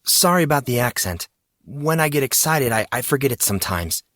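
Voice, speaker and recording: A man's voice: medium pitch, lively intonation, brisk, fairly bright tone, no echo, clean studio sound, pitched above the performer's own voice, lightly acted. A man voicing an agitated young gadget expert who rambles urgently and earnestly in an audiobook story.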